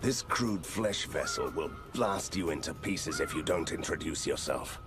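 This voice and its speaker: A grim monster hunter from a fantasy video game. His voice is extremely deep and gruff, with a dry, deadpan wit. Loud music runs behind him. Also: measured pace. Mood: menacing.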